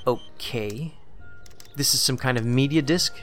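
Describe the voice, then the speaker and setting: An actor playing a calm, probing federal investigator in an audio drama, with a dry, measured manner. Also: male voice, mid-range voice, faint music behind, close to an everyday voice. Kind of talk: naturalistic audio drama acting.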